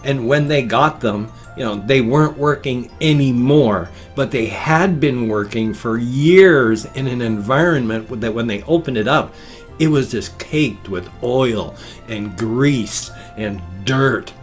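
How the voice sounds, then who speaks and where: An older man talks in a folksy, meandering way, his voice nasal and congested with a faint wheeze. He chats casually at a home desk mic, and quiet guitar music plays softly behind him. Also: medium pitch, slow. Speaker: vlog host. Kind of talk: rambling casual morning vlog. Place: small home room at a desk.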